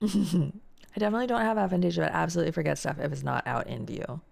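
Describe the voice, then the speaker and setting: A woman's voice: low-pitched, fast, vocal fry, a little mellow. A young woman streaming live, chatting casually and reading out and answering viewer messages. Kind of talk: casual chat reading chat aloud.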